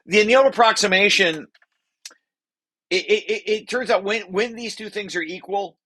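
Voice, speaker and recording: A man with a forceful, very bright and slightly high voice talks colleagues through a technical draft. He explains informally, circling back to restate key phrases for emphasis. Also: normal speed. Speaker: presenter walking through a research paper draft.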